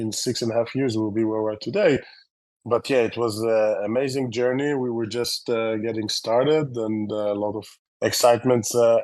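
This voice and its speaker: A man's voice: mid-range voice, a little mellow, no echo, poor audio. Israeli man, loose and rambling talk. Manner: loose, rambling, many fillers and restarts. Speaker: company founder and CEO. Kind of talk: casual interview answers.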